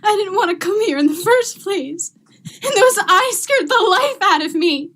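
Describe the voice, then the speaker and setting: A woman's voice: very high voice, lively intonation, normal speed, a bit bright. A young woman playing a frightened, hysterical female accomplice in vintage radio drama style, stammering and pleading. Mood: fearful. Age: young adult. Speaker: student actor.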